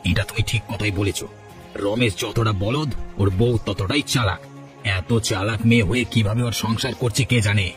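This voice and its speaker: A man's voice: on the low side, moderate pace, soft background music, lightly acted. A man playing a jealous, gossiping village sidekick in a cartoon, impatient and conspiratorial. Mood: impatient, plotting. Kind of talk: cartoon dialogue acting.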